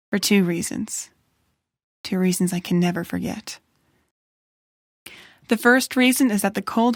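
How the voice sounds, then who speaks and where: A world-weary female pony writer played by an actress with a mid-pitched voice, recorded close and dry. She is moody, wry and self-doubting, with a touch of noir detective drama. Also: gravelly, no echo. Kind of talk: noir narration and dramatic dialogue.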